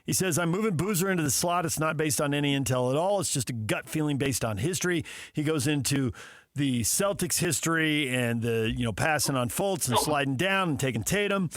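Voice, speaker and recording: A man's voice: a little high, animated, a little fast, fairly bright tone, no echo, studio quality. An American man hosting a sports talk radio show, bantering with guests and colleagues.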